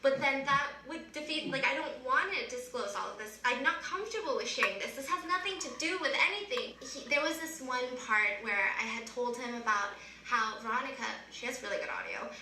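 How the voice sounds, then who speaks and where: High, bright young woman in echoey room. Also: a little fast, few pauses. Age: 20s. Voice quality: high-pitched, loud voice. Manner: earnest, storytelling confessional. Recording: roomy, distant sound with faint noise from a played clip.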